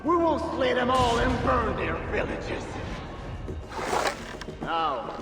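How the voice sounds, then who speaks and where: An actor playing a pompous, zealous bishop, oratorical and sermonizing, in a video game cutscene. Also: male voice, extremely high pitch, big pitch swings, slow, exaggerated voice. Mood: menacing, zealous. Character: pompous, zealous Frankish bishop preaching defiance. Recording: echoing stone hall, distant, music behind.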